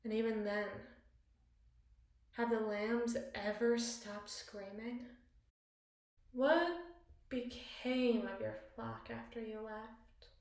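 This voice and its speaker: A woman's voice: a little high, fairly even intonation, slow pace, vocal fry, pauses often, a little mellow. A woman playing a possessive, cunning evil queen in an ASMR roleplay, speaking in ornate, theatrical monologue to a spouse. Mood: brooding, pointed. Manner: ornate, eloquent, dramatic phrasing. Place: small bathroom, indoors. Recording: very echoey tiled bathroom.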